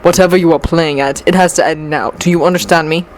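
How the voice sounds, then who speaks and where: A woman voicing a stern, perplexed male professor with a measured, formal way of questioning. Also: deep voice, steady tone, slight background noise, voice pushed down, natural voice. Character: stern, perplexed middle-aged male professor, leader of mutants.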